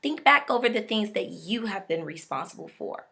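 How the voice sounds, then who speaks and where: A woman's voice: medium pitch, animated, normal speed, creaky, fairly bright tone, husky. A young woman giving an emphatic, preachy motivational talk straight to her viewers. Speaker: motivational speaker.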